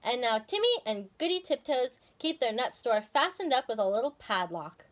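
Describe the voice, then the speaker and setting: A woman reading a children's story aloud in a dramatic, expressive way. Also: a little high, normal speed.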